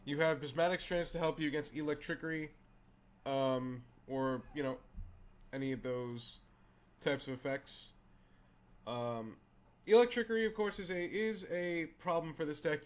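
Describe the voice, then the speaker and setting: A man who is feeling unwell, with a raspy voice, hosting a casual card game show and talking through a deck with many fillers and restarts. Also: a little high. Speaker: host.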